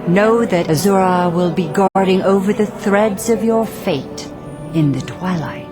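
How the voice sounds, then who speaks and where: A woman playing a serene, regal goddess in a fantasy video game, speaking in a formal, commanding, measured manner. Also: mid-range voice, natural voice. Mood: solemn, approving. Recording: music behind the voice. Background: music.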